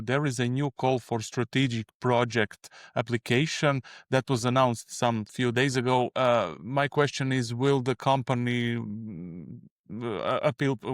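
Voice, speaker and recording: Halting man from Croatia, interviewer. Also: medium pitch, no room sound, studio quality. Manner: searching, halting questions. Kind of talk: investor interview.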